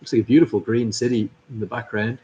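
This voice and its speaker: A man's voice: medium pitch, steady tone, fast talker, mellow, highs cut off. A man hosting a live cricket watchalong, commentating and chatting through viewer comments. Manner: chatty, upbeat, reads out viewers' names and greetings. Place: at a cricket club.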